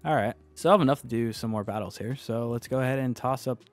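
Young guy talking over his screen, kinda hoarse from a cold, hyped then muttering, faint music under it. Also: mid-range voice, quick pace. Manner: chatty, excitable, swings to muttering. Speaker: online gambling video creator. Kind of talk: casual gameplay commentary.

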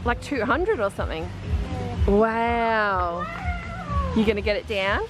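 A woman in her forties with an Australian accent, chatting excitedly and laughing as she films a shopping trip on a handheld camera inside a big warehouse store. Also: mid-range voice, slow, over music, rough recording. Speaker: family vlogger. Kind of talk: casual vlog narration while shopping. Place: large warehouse store indoors.

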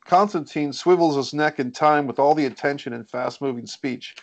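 A man's voice: a little high, normal speed, vocal fry. A man reading stage directions as scripted narration at a screenplay table read.

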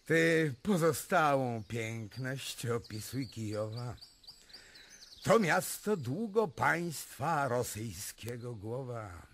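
An actor playing a solemn Polish court poet reciting verse ceremoniously in a radio drama. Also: male voice, medium pitch, lively intonation, slow pace, a bit bright, heavily acted. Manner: declamatory, ceremonious.

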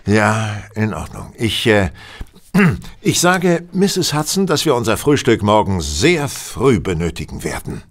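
An actor playing a brisk, impatient gentleman detective with dry sarcasm in a German audio drama, curt and commanding, sometimes halting as he thinks. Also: fairly low pitch, very animated. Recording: faint background noise.

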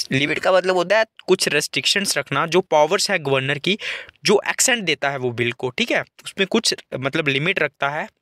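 A man with a bright, clear and slightly high voice teaches from a newspaper editorial. He reads each English line slowly and carefully, then explains it in Hindi. The sound is dry and close, with no room around it. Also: no room sound.

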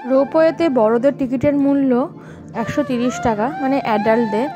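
A woman's voice: high-pitched, fairly quick. A woman in her thirties vlogging a family trip, talking casually to her phone camera held at arm's length. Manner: chatty, tired and complaining in the morning. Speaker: travel vlogger. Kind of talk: casual travel vlog narration. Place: hotel room, then outdoors on a trip. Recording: background music under voice. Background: music.

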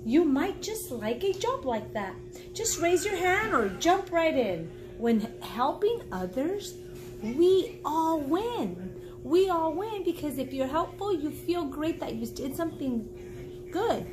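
A woman in her forties reading a children's book aloud like a teacher, encouraging and pausing to explain and ask the listener questions. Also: sits high, sing-song, music in the background. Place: small indoor room at home.

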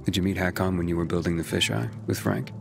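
A young male wanderer hero in a video game. His voice is lowish and creaky, with an earnest, reserved, slightly hesitant delivery. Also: fairly even intonation, quick pace, over music. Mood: shy, curious. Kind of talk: low-key cinematic game dialogue.

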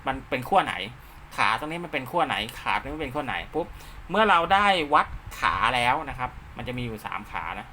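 A man's voice: medium pitch, lively intonation, fast, sharp tone, slight background noise. A man giving an informal hands-on tutorial step by step, often checking that the listener follows. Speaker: instructor, channel host.

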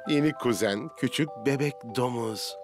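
A man's voice: mid-range voice, moderate pace, music in the background, lightly acted. A man narrating a preschool cartoon as its storyteller. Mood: storytelling.

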